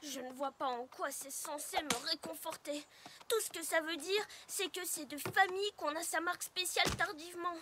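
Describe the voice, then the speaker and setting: A woman's voice: extremely high pitch, moderate pace, background noise. A woman voicing an impatient, fretful little girl pony in a French cartoon dub, with a whiny, dramatic manner. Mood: whiny, frustrated. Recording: music behind the voice.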